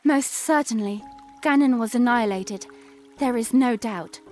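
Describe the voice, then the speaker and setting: A woman plays a young princess in an audio drama, warm and determined, with a high, light voice. She speaks in a gentle, reassuring way, with faint music behind her. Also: measured pace, close to an everyday voice. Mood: certain. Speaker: voice actor.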